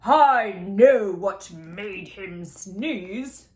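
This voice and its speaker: A woman voicing an assured male doctor from a picture book, with emphatic, knowing, drawn-out pronouncements. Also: mid-range voice, expressive, slight background noise.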